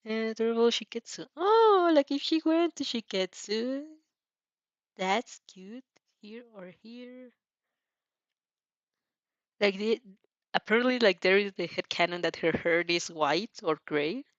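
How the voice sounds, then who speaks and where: Slow-paced, giggly woman, muffled mic. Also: a little high, animated, dry recording. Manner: giggly, apologetic, scattered and self-interrupting. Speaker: host of a fan fiction reaction show. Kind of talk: casual reaction chat.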